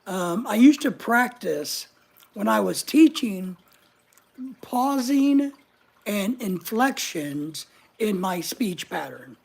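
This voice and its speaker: A middle-aged man with a high voice often taken for a woman's, chatting casually and joking at his own expense while reading viewer comments. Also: expressive, slow, vocal fry, breathy edge. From United States. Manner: chatty, joking, self-mocking. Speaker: channel host reading live chat. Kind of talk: casual livestream chat.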